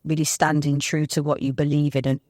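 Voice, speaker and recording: A woman's voice: sits low, level tone, fairly quick, darkish tone, dry recording, studio quality. A woman hosting a podcast interview, affirming her guest and building long, searching questions. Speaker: podcast host.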